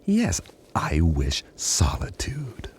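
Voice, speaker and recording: A man's voice: low voice, huge pitch swings, very slow, husky, exaggerated voice. An actor playing an agitated, weary man confined aboard a spaceship, brooding and theatrical, in a radio drama. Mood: weary, bitter. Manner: brooding, theatrical, swinging from hushed to exasperated. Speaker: lead actor narrating his own story.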